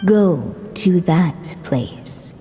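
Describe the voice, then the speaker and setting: A woman voicing a serene, mysterious storybook narrator in a game, speaking in measured, lyrical riddles. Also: on the low side, lively intonation, dark tone, a little hiss or hum. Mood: serene, cryptic. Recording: long reverb, music behind.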